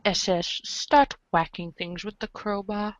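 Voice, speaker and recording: A woman's voice: mid-range voice, steady tone, unhurried, no echo. A woman reading scripted comic narration aloud for a podcast. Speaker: podcast reader of a webcomic.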